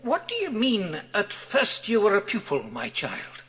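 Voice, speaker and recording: Casting: dependable English family man, high lively voice, sympathetic and polite, for dramatised storybook. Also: measured pace, a little hiss or hum, exaggerated voice. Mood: sympathetic, reassuring. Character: steady, reassuring family man and gentleman friend. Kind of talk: dramatised audiobook acting.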